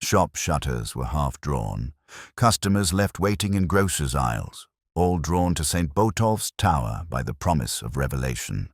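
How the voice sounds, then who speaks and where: A man with an extremely deep voice narrates a scripted mystery story. His pitch moves in lively swings, and the sound is dry and close. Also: a little slow, no echo.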